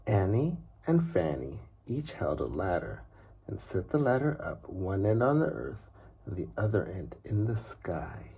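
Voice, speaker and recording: A man reading a children's story aloud in an expressive, unhurried way with dramatic pauses and a husky, gravelly voice. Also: fairly low pitch. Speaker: father reading a bedtime story.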